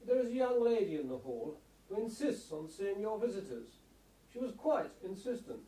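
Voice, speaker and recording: Man as a distant, high-voiced, deferential servant. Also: sing-song, moderate pace. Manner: polite, formal. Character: deferential, formal household servant. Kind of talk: steampunk radio play acting. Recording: distant, echoey room with clear noise.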